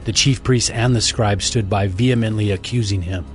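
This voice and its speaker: Casting: male narrator, biblical drama. Lowish register, solemn measured reading, music underneath. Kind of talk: scripted scripture narration.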